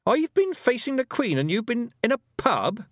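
A man voicing a sharp, sensible young girl. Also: extremely high pitch, sing-song, moderate pace, dry recording, clean studio sound, voice pushed up.

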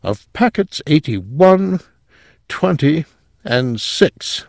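A man's voice: fairly high pitch, sing-song, very slow, no echo, pitched above the performer's own voice, full character voice. A fussy male technician from an audiobook, acted by a male narrator. He explains in a pedantic, self-satisfied way, heard close in a dry recording. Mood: matter-of-fact. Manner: pedantic, explanatory, hesitant throat-clearing. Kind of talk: audiobook character voice.

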